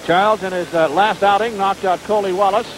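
A noisy vintage 1950s television recording carries a man's high-pitched voice. He is an American boxing commentator calling the fight live from ringside in the sportscasting style of that era. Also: steady tone, brisk. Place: ringside at an indoor stadium.

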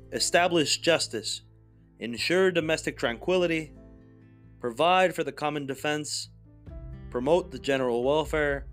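Guy reading formal text slow and deliberate, bit higher voice, light music behind. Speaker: podcast host, narrator.

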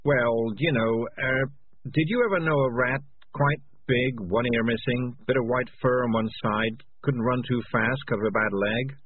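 A man voicing a selfish, guilt-ridden talking tomcat in a storybook, raised and defensive with drawn-out words, recorded with a phone-like narrow sound. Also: medium pitch, breathy edge, dry recording, voice pushed up, close to an everyday voice. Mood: uneasy.

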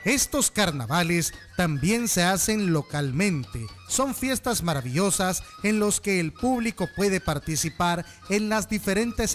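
High-pitched male documentary narrator. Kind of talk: scripted narration.

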